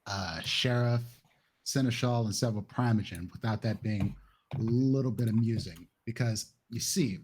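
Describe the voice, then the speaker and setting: A man playing a wry, cocky young vampire in a tabletop roleplay, with a dry, deadpan delivery. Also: medium pitch, moderate pace, husky, close to an everyday voice. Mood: wry, needling.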